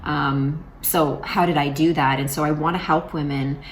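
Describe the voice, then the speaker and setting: A woman in her forties chats to her camera in a loose, rambling way, full of fillers and restarts. Her voice sits low and carries a gravelly rasp. A faint hum of the room runs behind her. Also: fairly even intonation, moderate pace. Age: 40s. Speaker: vlogger. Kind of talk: casual vlog talk to camera.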